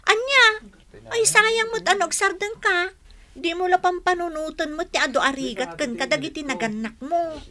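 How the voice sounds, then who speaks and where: A woman's voice: extremely high pitch, normal speed, sharp tone. An actress playing a weary, nagging middle-aged village mother in an Ilocano radio drama, scolding and exclaiming. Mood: scolding, dismayed.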